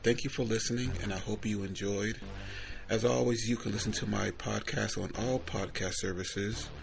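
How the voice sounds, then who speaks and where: A low male voice with plenty of vocal fry talks over music. He is a podcast host speaking casually to listeners. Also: fairly even intonation, moderate pace, rough recording.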